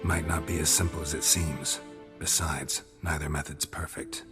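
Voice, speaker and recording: A grizzled monster hunter with an extremely deep voice. He talks in short, clipped, matter-of-fact sentences, and faint game music plays beneath him. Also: flat delivery, moderate pace. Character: gruff grizzled male monster hunter, terse and dry. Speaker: game protagonist.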